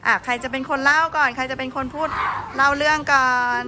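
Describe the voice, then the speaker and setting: A woman's voice: high voice, little pitch movement, quick pace, pushed and bright. A woman kindergarten teacher prompting young children with simple, coaxing questions as they perform a story. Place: noisy room at a kindergarten. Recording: noisy room with children around.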